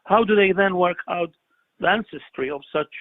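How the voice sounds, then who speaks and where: An older man from Iraq rings in over a thin, tinny phone line, his voice gravelly and husky and pitched a little high. He talks with passion, building his points through repetition and pointed questions. The line sounds dry and close. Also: normal speed, no echo. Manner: impassioned, rhetorical, repeats phrases for emphasis. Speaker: caller. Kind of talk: phone-in call. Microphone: telephone.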